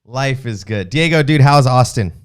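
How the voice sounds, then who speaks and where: A man's voice: mid-range voice, lively intonation, moderate pace, clear, resonant tone. A man hosting a casual podcast interview, upbeat and chatty. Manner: upbeat, chatty, reads sponsor spots. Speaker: podcast host.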